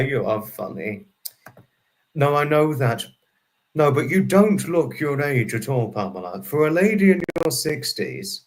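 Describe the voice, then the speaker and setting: A young man in his twenties hosting a casual livestream, chatting and answering viewer comments by name in a rambling, friendly way. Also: mid-range voice. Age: 20s. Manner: rambling, restarts number sums aloud. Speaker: livestream host.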